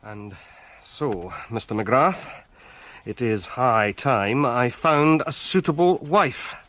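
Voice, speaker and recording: A man playing a stiff, ponderous Victorian letter writer in a radio drama, with a formal, deliberate manner. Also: mid-range voice, lively intonation, fairly bright tone. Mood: solemn.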